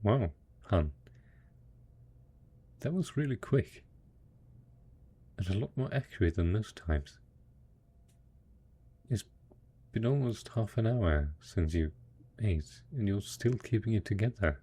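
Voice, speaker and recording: A man acting as a devoted, hopeful survivor in an intimate audio roleplay, talking tenderly and coaxingly to a spouse, with a husky, gravelly voice. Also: on the low side, expressive, slow, long pauses, dark tone, exaggerated voice. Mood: curious, hopeful.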